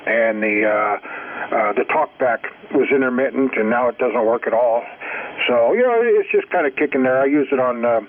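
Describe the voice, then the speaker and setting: A man chats casually over a narrow, phone-like radio signal with faint noise behind him. His voice sits at a middling pitch, and he talks like an operator swapping notes on the air. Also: animated, normal speed.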